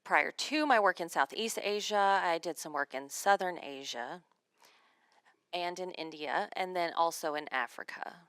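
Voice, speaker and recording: A woman with a notably low, deep voice gives a spoken interpretation of a seminar lecture. She moves through it in measured phrases, and the sound is close and dry with little room around it. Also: fairly bright tone, clear, resonant tone, no room sound. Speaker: sign language interpreter voicing a signed lecture.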